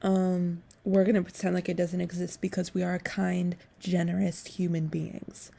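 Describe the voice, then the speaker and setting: A young woman in her late teens chatting casually to camera as a book vlogger, rambling with animated, self-deprecating asides. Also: fairly low pitch, moderate pace, gravelly, slightly rough. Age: young adult, late teens.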